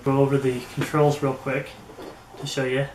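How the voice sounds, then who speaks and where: A man's voice: mid-range voice, completely flat delivery. Man reviewing gear in an echoey room. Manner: halting, pausing mid-phrase. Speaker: product reviewer. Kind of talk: casual hands-on gear review. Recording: roomy echo, faint background noise.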